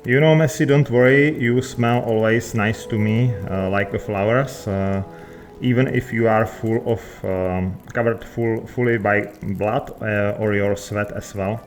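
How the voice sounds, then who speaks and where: A man chatting casually with game characters, speaking in halting, hesitant phrases. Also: lowish voice, steady tone. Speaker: gamer, video creator.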